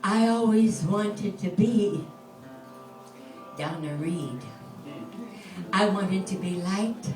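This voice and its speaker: Slow, dramatic woman poet on stage. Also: mid-range voice, darkish tone, faint music behind. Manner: slow, dramatic, half-sung delivery. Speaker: spoken word poet. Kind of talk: live poetry performance. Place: lounge bar stage. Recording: roomy, distant live venue recording.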